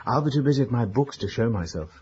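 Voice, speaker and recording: Young British academic: precise, unworried, wry, very varied intonation. Lo-fi radio drama sound. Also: male voice, mid-range voice, expressive, fast talker, darkish tone, slightly rough. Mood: brisk, businesslike. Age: young adult. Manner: educated, precise, dismissive. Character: brisk, dryly amused young male scholar, unworried and studious. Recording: dull lo-fi radio drama recording.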